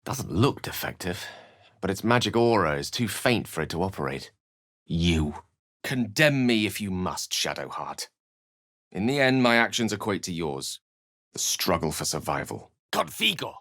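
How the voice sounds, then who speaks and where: A man playing an eloquent, courteous wizard in a video game, articulate and literary in his measured delivery. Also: low voice, big pitch swings, fairly bright tone, dry recording, studio quality, exaggerated voice. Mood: wry, courteous, then forceful, exclaiming. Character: eloquent, courteous, bookish male human wizard, a wry adventurer. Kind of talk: video game character lines and narration.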